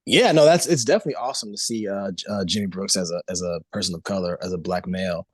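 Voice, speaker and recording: Deep voiced guy in his 30s, laid back interview chat, lots of um and like, dry close sound. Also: quick pace, no room sound. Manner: relaxed, rambling, many fillers and restarts. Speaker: voice actor guest.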